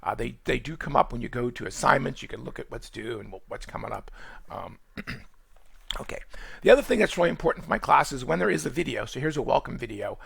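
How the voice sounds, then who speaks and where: A man from Boston with a Boston accent, giving a college course orientation in quick, rambling speech full of fillers and restarts. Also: mid-range voice, animated, creaky, a bit bright, breathy edge. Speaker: college nutrition instructor. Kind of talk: screen-recorded course orientation.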